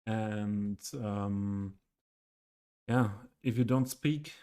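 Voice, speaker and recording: A man's voice: on the low side, dark tone, smooth. A man with a Western German accent, a native German speaker streaming live and talking through his city build, hesitating often and searching for words. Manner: halting, many ums, searching for words. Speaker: game streamer. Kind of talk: casual live stream commentary.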